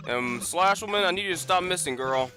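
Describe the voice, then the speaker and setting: A man's voice: high voice, animated, fairly quick, music in the background. A man doing casual live-stream commentary over gameplay, rambling with lots of fillers and restarts. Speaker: gaming streamer.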